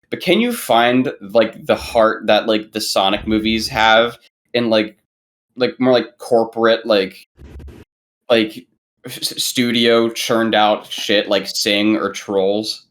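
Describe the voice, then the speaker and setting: A young man in a casual film discussion, talking haltingly and repeating himself, with "like" in nearly every phrase. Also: on the low side. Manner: halting, repetitive, heavy use of "like". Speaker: podcast panelist.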